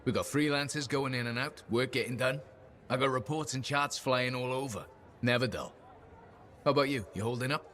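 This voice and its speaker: An actor playing a humble, friendly officer who talks casually in short phrases, in video game dialogue. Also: male voice, medium pitch, a little fast, fairly bright tone, natural voice. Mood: upbeat, friendly.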